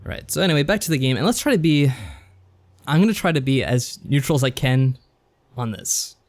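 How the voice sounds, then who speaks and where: Man co-hosting a podcast, medium-pitched voice. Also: lively intonation, fairly quick. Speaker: podcast co-host. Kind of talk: casual review and plot summary.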